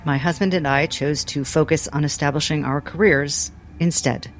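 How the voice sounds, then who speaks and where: A woman doing in-character tabletop role-play drama in a measured, deliberate, restrained way. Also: deep voice, fairly even intonation, a little hiss or hum. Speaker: role-play game player.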